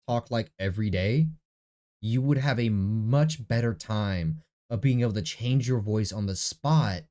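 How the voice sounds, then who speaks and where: A man's voice: lowish voice, mellow. A young man with a nasal voice, chatting casually in his bedroom while he prepares a tabletop game session, thinking aloud and correcting himself. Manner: rambling, self-correcting, talks to himself. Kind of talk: casual screen-recorded prep vlog. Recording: faint echo in the room.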